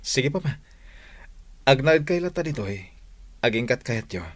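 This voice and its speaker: A man playing an earnest, devoted young suitor in an audio drama, with a husky voice. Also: mid-range voice, animated, measured pace. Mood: agreeable.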